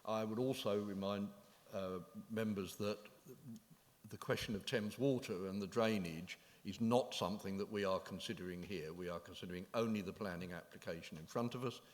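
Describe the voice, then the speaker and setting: A man chairing a planning committee, reminding members of procedure in a formal, measured way with small pauses. His voice is on the low side. A long reverb from the council chamber trails after his words.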